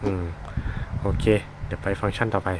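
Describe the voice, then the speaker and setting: A man giving a casual, unscripted product demonstration, explaining informally and haltingly as he searches for words. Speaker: product reviewer demonstrating a gadget. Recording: noisy, with vehicle sounds behind.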